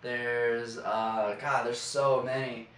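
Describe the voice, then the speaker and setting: A man's voice: medium pitch, little pitch movement, slow, a bit bright. A young man in casual podcast chat, giving blunt opinions as a co-host. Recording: echoey room, distant mic, faint noise.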